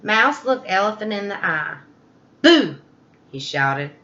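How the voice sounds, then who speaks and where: A woman reading a children's story aloud, expressively, with deliberate dramatic emphasis. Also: medium pitch, expressive, bright, forceful tone, highs cut off. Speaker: storybook reader.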